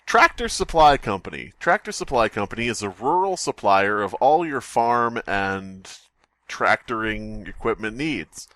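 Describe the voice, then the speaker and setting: A man's voice: on the low side, sing-song, unhurried, a bit bright. Exasperated man ranting profanely. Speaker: podcast host. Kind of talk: comedic rant.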